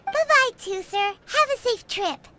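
Tiny cheeky female fairy sidekick for a game: piercingly high, childlike, swooping, bossy. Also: expressive, measured pace, noisy background. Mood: cheerful. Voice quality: squeaky, childlike. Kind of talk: video game voice acting.

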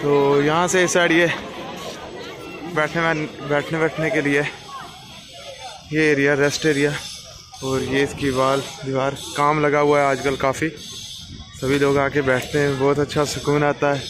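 A young man from Jammu and Kashmir talks casually to his vlog viewers through a phone held at arm's length. His voice sits a bit high for a man. Clear city and traffic noise surrounds him as he keeps pointing out the sights. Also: little pitch movement, unhurried, pauses often, a crowd in the background. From Jammu and Kashmir, India. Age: young adult. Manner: addresses viewers often, pointing things out. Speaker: travel vlogger. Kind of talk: casual vlog narration to camera. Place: outdoors on busy streets, in vehicles and at monuments. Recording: noisy city street with traffic.

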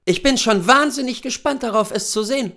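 A man's voice: very high-pitched, brisk, pitched above the performer's own voice, full character voice. A man reading a story, voicing an eager, insistent wife on safari who prods and teases. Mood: needling, eager. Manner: excited, insistent, needling.

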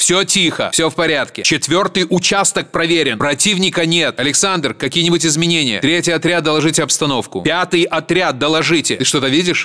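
Sardonic, higher-pitched Russian soldier. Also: male voice, bright, forceful tone. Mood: matter-of-fact. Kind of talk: video game military voice lines.

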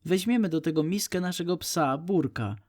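Man as a little female frog, raised pitch, cheerful, eager, bossy, children's storybook friend. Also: normal speed, darkish tone, natural voice. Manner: eager, instructing.

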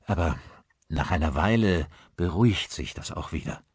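A man playing a calm husband in an audiobook, soothing, measured and earnest as he tries to stay rational. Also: deep voice, breathy edge, no echo, clean studio sound. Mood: explaining. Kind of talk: dramatised audiobook dialogue.

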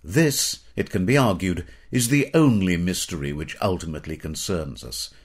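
A middle-aged British man reading scripted educational narration in a measured, formal, literary manner. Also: sits low, expressive, husky.

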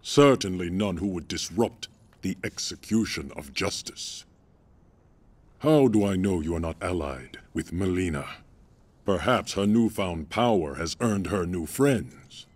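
A man's voice: sits low, animated, darkish tone, slightly rough, full character voice. A man playing a stern, proud warrior emperor in a game, speaking formally and imperiously. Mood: stern, suspicious. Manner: formal, imperious, measured speech. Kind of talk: video game cutscene acting.

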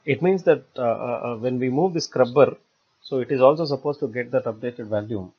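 A man narrating a step-by-step software tutorial in a halting, explanatory way. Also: mid-range voice, husky. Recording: dull lo-fi voice-over recording.

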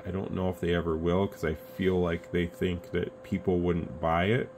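A man's voice: low voice, moderate pace. An American man giving casual, unscripted product commentary as a video host, rambling along conversationally. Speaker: radio reviewer, video host. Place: indoors at an electronics radio bench. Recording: steady background noise, room ambience.

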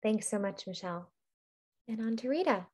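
A woman's voice: mid-range voice, expressive, moderate pace, darkish tone. A woman hosting and moderating an online webinar, upbeat and organized with occasional ums, over a computer mic. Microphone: computer mic on a video call.

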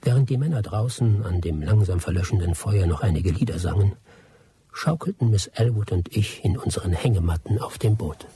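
An actor playing a first-person narrator, giving measured, reflective storytelling in a radio drama. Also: male voice, deep voice, mellow. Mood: calm recollection. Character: male first-person narrator recalling a jungle expedition.

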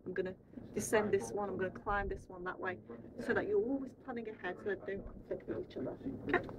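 A woman's voice: mid-range voice, fast, a crowd in the background. A young woman giving an informal explanatory talk to a group, often checking that they follow with tag questions. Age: young adult. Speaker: air traffic controller giving a tour. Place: indoor radar control room. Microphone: handheld camera a short distance away. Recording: distant mic, loud room noise.

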